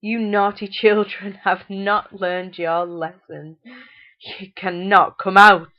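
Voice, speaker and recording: A woman voicing a cruel, theatrical storybook mother who scolds in a drawn-out, menacing way. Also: mid-range voice, level tone, dull audio, close to an everyday voice. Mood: gloating. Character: cruel, shrieking mother, false-sweet and menacing.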